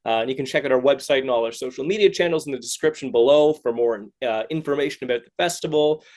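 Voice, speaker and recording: A man's voice: medium pitch, quick pace, a little mellow. A man hosting a casual interview, talking in a hesitant, rambling and encouraging way. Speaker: podcast host interviewer.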